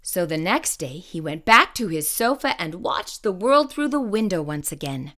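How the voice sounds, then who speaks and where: A woman storyteller reading a children's picture book aloud, expressive and carefully phrased. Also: on the low side, moderate pace.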